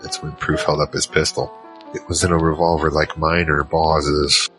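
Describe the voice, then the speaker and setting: A man's voice: very deep voice, flat delivery, moderate pace, fairly bright tone. A man playing a battle-worn gunfighter who narrates his own story in an audio drama, terse and world-weary. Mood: grim, drained. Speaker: narrator and lead character. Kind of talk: first-person noir-like audio drama narration. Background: music.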